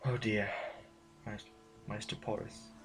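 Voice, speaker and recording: A man's voice: fairly low pitch, flat delivery, slow pace, a bit bright, slightly rough, faint music behind, heavily acted. A man playing an anxious, proper official who stammers and hesitates in an audio drama. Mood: dismayed, proper. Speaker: voice actor.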